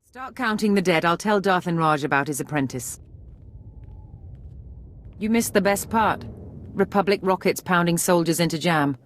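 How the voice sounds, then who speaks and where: Game role: actress as a hard-bitten female soldier, lowish voice, blunt and bitter, faint noise. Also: normal speed, pauses often, lightly acted. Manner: blunt, sardonic. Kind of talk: video game voice acting.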